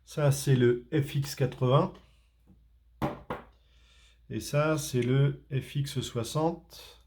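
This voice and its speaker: A man's voice: medium pitch, steady tone, very slow, darkish tone, slight background noise. A man from France doing a casual unboxing, talking hesitantly with many fillers and restarts. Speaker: tech reviewer.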